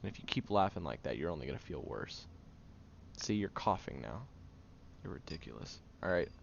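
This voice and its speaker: Audio roleplay: male actor as tired tsundere guy, gruff but secretly caring, halting, creaky voice with lively swings in pitch. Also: full character voice. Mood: exasperated, reluctant. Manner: halting, sighing, grumpy and flustered. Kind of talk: intimate audio roleplay, acted monologue to the listener. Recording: dull lo-fi, clear background noise.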